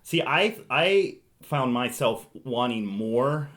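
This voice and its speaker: A man's voice: medium pitch, lively intonation, slow pace, a bit bright. A man hosting a casual board game discussion, jokey and teasing as he explains things. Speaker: podcast host.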